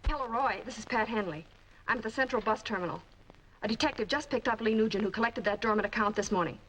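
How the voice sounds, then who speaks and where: A woman playing a brisk, businesslike newspaper reporter in a 1940s film noir. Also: a little high, a bit bright, close to an everyday voice. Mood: brisk, urgent. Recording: noisy old 1940s film soundtrack.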